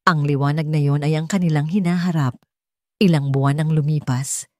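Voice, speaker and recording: A woman's voice: sits low, steady tone, normal speed, dark tone, dry recording, studio quality. A woman with a Filipino accent reading a scripted romance story as an audiobook narrator.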